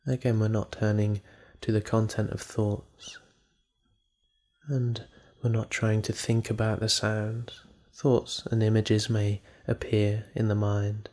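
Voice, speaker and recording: A man leading a guided meditation in a calm, measured manner, leaving pauses between phrases. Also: lowish voice.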